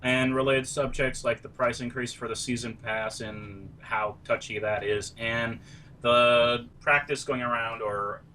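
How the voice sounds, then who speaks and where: A man hosting a podcast in an upbeat, chatty way, a little off the mic with a faint rumble behind. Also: steady tone, measured pace, sharp tone. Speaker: podcast host. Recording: slightly distant mic with faint background rumble.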